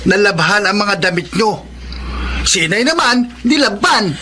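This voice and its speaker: An excitable young Filipino brother in a radio drama. His voice is extremely high and sharp, indignant and urgent, with faint noise behind. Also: measured pace, heavily acted.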